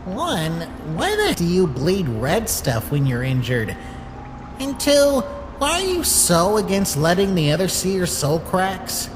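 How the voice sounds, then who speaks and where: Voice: mid-range voice, wildly swooping pitch, unhurried, long reverb tail, over music. An actor voicing a moody, curious young male talking flower in a fan comic dub, blunt yet hesitant. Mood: prickly, demanding.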